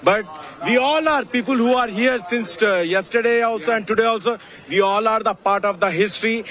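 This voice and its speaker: Man, Indian accent, fairly high pitch; earnest emphatic attendee interviewed outdoors. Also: fairly bright tone. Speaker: event attendee being interviewed.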